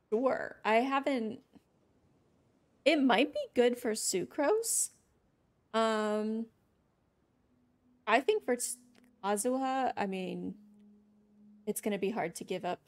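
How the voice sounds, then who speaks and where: A woman's voice: on the high side, no echo. A woman livestreaming a video game, giving casual, chatty commentary with playful reactions to what happens on screen. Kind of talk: casual live gameplay commentary.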